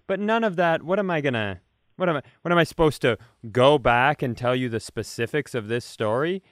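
A man's voice: mid-range voice, lively intonation, brisk, dry recording, studio quality. An American man co-hosting a comedy podcast, bantering casually in a rambling, playful way full of rhetorical questions.

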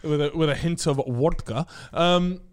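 A man hosting a podcast, chatting loosely and joking, with a fair bit of swearing. His voice sits a little high for a man and sounds smooth and resonant. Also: unhurried. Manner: chatty, swearing, jokey storytelling. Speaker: podcast host. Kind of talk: casual podcast chat.

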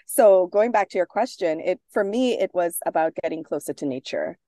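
A woman speaks with a lowish, mellow voice. She talks as an interview guest, thoughtful and unhurried, with fillers and small restarts. The sound is dry and close. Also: animated, no room sound. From Trinidad. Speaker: guest author. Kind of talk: casual interview talk.

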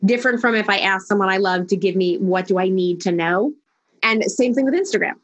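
A woman's voice: medium pitch, fairly quick. A woman speaking as a life coach in an interview, firm and emphatic, rattling through lists of points. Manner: emphatic, declarative, speeds up when listing. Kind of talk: conversational interview.

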